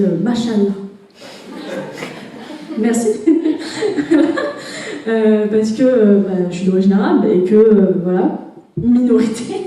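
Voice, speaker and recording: A woman's voice: medium pitch, warm tone. A young woman from France speaking on a conference panel in a casual, chatty and slangy way. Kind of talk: panel discussion talk. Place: conference hall. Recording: echoey hall, voice from far off.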